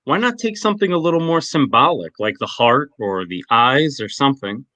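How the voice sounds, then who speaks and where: A man's voice: mid-range voice, animated, normal speed, pushed and bright, no room sound. A man reading a skeptical male detective who questions a suspect in blunt, probing lines.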